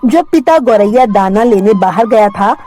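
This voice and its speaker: A woman's voice: mid-range voice, animated, moderate pace, mellow, soft background music. A woman narrating a scripted children's moral story as a storyteller.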